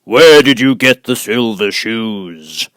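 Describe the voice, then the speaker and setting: Oz, a booming, imperious giant head. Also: male voice, expressive, takes it slowly, fairly bright tone, clear, resonant tone, no room sound, clean studio sound. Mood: imperious, questioning.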